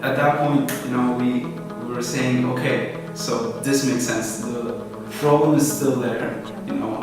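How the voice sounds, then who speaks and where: Young guy from peru explaining his startup, lots of ums, roomy echo, music under it. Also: medium pitch. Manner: relaxed, thoughtful, many fillers. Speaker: startup founder. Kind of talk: interview answers. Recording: echoey room, far from mic, music behind.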